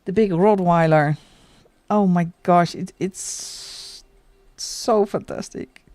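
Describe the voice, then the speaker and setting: A woman's voice: lowish voice, slow pace, a little mellow, no room sound. A middle-aged woman from the Netherlands with a Dutch accent, chatting casually and laughing often while she colours on a livestream. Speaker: livestream host.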